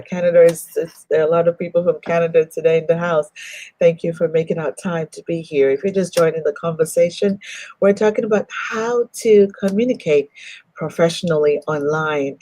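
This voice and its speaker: A woman's voice: sits low, mellow, no room sound. A woman hosting a live online talk show, greeting viewers by name and speaking effusively. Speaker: host.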